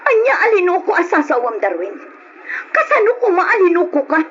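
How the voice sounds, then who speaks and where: An anguished, protective mother with a high voice. She pleads emotionally in old radio drama fashion. The recording is dull and noisy. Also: sing-song, normal speed, fairly bright tone, heavily acted. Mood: indignant, accusing.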